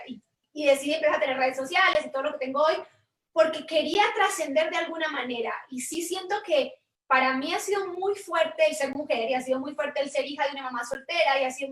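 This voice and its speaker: A woman's voice: high-pitched, a bit bright, echoey room, distant mic, rough recording. A woman from Medellín with a Colombian Spanish accent, telling her life story as an interview guest in an earnest, thoughtful way with frequent restarts. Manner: earnest, thoughtful, with many restarts and tag questions. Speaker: guest investor.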